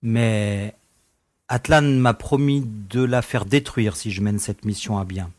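A man's voice: lowish voice, slow pace, a little mellow, dry recording. An actor playing a sardonic young male space pilot and smuggler in an audio drama, teasing and informal in manner. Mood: grudging, confiding.

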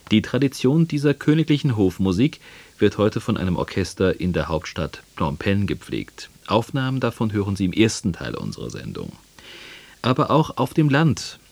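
A man's voice: deep voice, a little mellow, no echo. A man presenting a scripted music programme on the radio.

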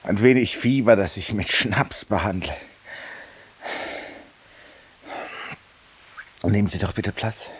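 An actor playing a feverish, weary, self-styled judge-penitent in a 1950s radio drama, confiding ironically and theatrically in a silent listener. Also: male voice, lowish voice, normal speed, husky. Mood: weary, courteous. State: feverish and tired, with coughs.

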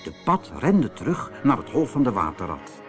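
Animated male storyteller over music. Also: medium pitch, normal speed. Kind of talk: expressive children's audiobook narration.

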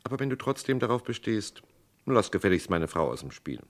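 Suave philandering doctor, swooping delivery. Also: male voice, on the low side, very animated, a little fast. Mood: cool, commanding. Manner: smooth, teasing, domineering. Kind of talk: vintage German radio crime drama acting.

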